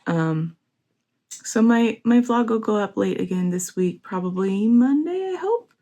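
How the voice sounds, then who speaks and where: A young woman vlogging casually at home, her voice a little hoarse and sore, excitable and laughing often. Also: medium pitch, measured pace. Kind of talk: casual vlog chat. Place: home, indoors.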